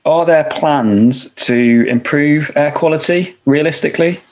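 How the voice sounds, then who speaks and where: A man hosting a podcast interview, asking questions in a measured way. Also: on the high side, a little mellow. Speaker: podcast host.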